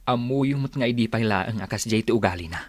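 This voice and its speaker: Guy playing fed up ilocano husband in radio drama, lower voice, close dry sound, stubborn. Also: fairly quick, no room sound, close to an everyday voice. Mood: weary, resolute. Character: fed-up husband, weary and stubborn, confiding in mother.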